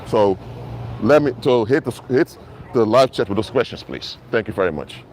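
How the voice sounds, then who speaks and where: A man's voice: fairly low pitch, animated, normal speed, darkish tone, slight background noise. A man whose first languages are Papiamento and Dutch, preaching earnestly to live-stream viewers on a mobile phone outdoors in a city. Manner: earnest, repetitive, addresses viewers directly. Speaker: minister. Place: outdoors in an urban area.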